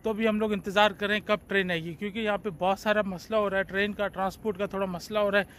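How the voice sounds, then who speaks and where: A man in his forties vlogging to his phone camera on an outdoor train platform at night, in a chatty, relaxed way. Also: high-pitched, racing. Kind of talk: casual vlog narration. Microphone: phone at arm's length. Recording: faint outdoor background noise.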